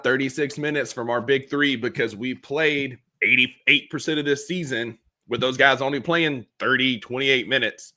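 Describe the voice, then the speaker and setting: Bright-voiced man talking sports. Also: medium pitch. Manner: rambling, animated, many fillers and restarts. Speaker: podcast co-host. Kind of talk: casual sports talk.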